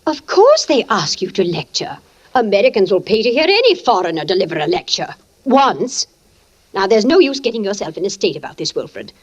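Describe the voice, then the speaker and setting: An actress playing an imperious, belittling middle-aged wife who scolds and gives orders in 1940s radio suspense style. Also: female voice, mid-range voice, big pitch swings, a little fast, breathy edge. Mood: scolding. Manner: imperious, scolding, clipped commands. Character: bossy, nagging, belittling wife. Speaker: radio drama actor. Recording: muffled old radio recording.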